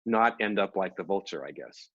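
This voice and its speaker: A man co-hosting a casual, reflective discussion, speaking in a measured, agreeable way with thoughtful pauses. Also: deep voice, expressive, a bit bright, muffled recording. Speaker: co-host of a family read-along discussion.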